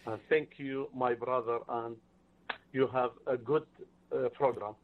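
A man's voice: medium pitch, steady tone, distant mic. Man on a tinny phone line. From Iraq. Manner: halting, earnest, non-native phrasing. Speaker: caller. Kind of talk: phone-in call to a talk show.

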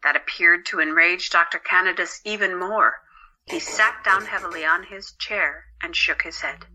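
Woman narrator with a sharp, bright voice. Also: on the low side, normal speed, low-quality recording. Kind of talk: scripted dramatic story narration. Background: music.

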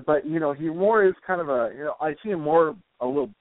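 A man giving casual, rambling interview answers as a guest author, speaking in a hesitant, halting way. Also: fairly high pitch. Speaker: guest, comic book author. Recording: roomy, phone-like internet radio call.

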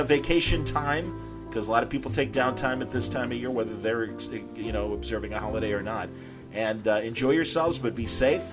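A man hosting a podcast, talking casually and off the cuff in a hesitant, rambling way peppered with uhs. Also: fairly low pitch. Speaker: podcast host. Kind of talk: casual chat, unscripted sign-off to listeners.

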